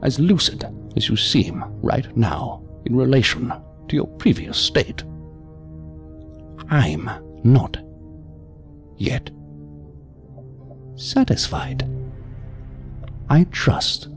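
An actor playing a calm, evasive male psychiatrist in an audio drama, speaking in a measured, formal, unctuous manner. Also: mid-range voice, faint music behind, exaggerated voice. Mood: evasive, controlling.

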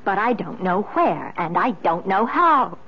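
An actress playing a wronged, genteel wife in a 1970s radio drama, speaking with formal, pointed reproach and dramatic pleading. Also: female voice, mid-range voice, very animated, measured pace, husky, full character voice. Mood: wounded pleading. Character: Margaret, wronged, genteel wife, wounded and demanding. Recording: muffled vintage radio broadcast.

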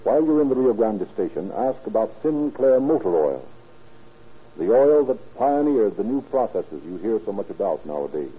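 Old time radio announcer guy selling stuff, formal, really rough husky voice, tinny narrow sound. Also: lowish voice, sing-song, normal speed. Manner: formal salesmanlike delivery. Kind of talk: scripted 1930s radio sponsor announcement. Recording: tinny, muffled 1930s radio recording.

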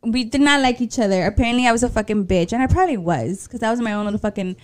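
A woman's voice: on the high side, racing. A woman about to turn thirty with a Mexican American accent, co-hosting a podcast in casual chat. She swears freely, laughs often and slips into Spanish. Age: late 20s. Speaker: podcast co-host.

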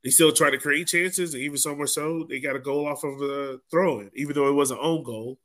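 A man's voice: a little high, a little fast, a bit bright. A man hosting a sports podcast, talking casually through soccer match analysis in a conversational way.

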